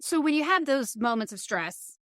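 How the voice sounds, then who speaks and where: A woman's voice: high-pitched, sing-song, moderate pace, fairly bright tone, dry recording, studio quality. A woman hosting a casual interview, speaking in a supportive, thoughtful and agreeable conversational way. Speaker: podcast host and interviewer.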